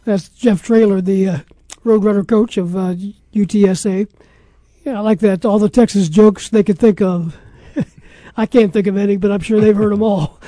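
A man's voice: high voice, dark tone, no echo. An American man hosting a sports radio show, chatting casually about football. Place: radio studio.

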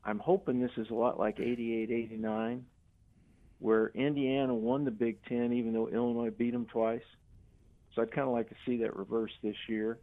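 An older man talks sports casually as a radio caller. His voice is mid-pitched for a man and comes through a thin, band-limited phone connection. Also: level tone, moderate pace. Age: 60s or older. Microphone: telephone. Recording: thin, narrow phone line.

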